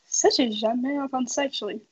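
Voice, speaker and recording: A woman's voice: high voice, fairly even intonation, fairly quick, highs cut off, background noise. A young woman from northwest New Brunswick with a Québécois-like French accent, chatting casually as a guest. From northwest New Brunswick, Canada. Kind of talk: casual conversational chat.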